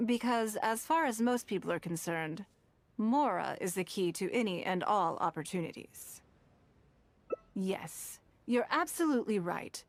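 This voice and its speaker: Poised, shrewd game businesswoman, calm and elegant, mid pitch. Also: a little slow. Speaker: game character. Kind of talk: video game voice acting.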